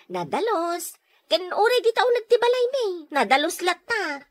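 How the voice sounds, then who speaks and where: A woman's voice: extremely high pitch, very animated, normal speed, no room sound, clean studio sound. A woman with an Ilocano accent playing a house-proud, industrious neighbor in a radio comedy, firm and matter-of-fact. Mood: brisk, impatient.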